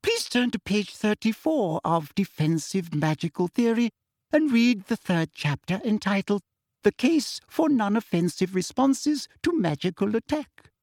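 A man's voice: high-pitched, very animated, unhurried, a little mellow, dry recording, studio quality, voice pushed up, full character voice. A man voicing a smug middle-aged witch teacher with a sugary, prim manner. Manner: saccharine, smug.

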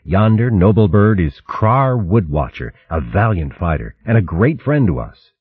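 A steadfast warrior mouse played by a man in a low, earnest, respectful voice. It is the reader's own low husky tone, lively in pitch. The recording is dry and slightly muffled. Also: a little slow, dry recording, full character voice.

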